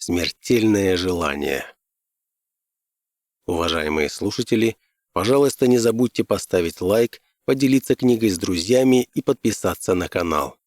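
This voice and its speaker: A man's voice: on the low side, animated, fairly quick, a little mellow, dry recording, clean studio sound. A man reading fiction aloud as a scripted audiobook narrator.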